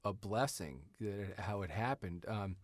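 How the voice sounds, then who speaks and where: A man's voice: lowish voice, lively intonation, normal speed, no room sound, clean studio sound. A man talking casually as a writer and actor being interviewed, in an informal, conversational way. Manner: informal, often checks with "you know". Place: sound studio.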